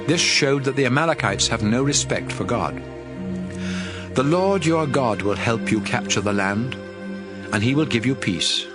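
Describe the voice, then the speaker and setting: A man narrating scripture in a measured, authoritative manner for a dramatized audio production. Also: medium pitch, expressive. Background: music.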